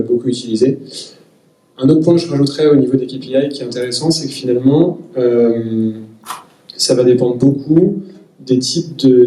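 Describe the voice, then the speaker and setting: A man with a mellow tone answers in a measured way, pausing on many fillers. His voice carries the echo of a room and sounds distant from the mic. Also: medium pitch. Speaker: panelist, company executive. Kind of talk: round-table discussion. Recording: echoey room, far from the mic.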